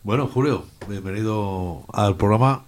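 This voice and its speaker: Male, low voice, radio interviewer, informal questioning. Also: unhurried.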